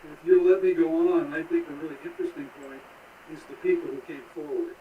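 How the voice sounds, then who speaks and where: A man in his seventies with a somewhat high voice gives an unscripted personal account. He explains things in a halting, piece-by-piece way. The radio link sounds distant, with light noise around him. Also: very animated, vocal fry, phone-quality sound. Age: 70s. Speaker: interview guest, transplant recipient.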